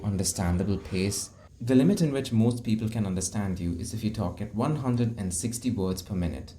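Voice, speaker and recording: A man's voice: fairly low pitch, a little hiss or hum. A young man teaching public speaking in a video lesson, explaining and demonstrating how to vary his tone. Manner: demonstrates tones, shifts loudness for emphasis. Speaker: speaking coach. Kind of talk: instructional video talk.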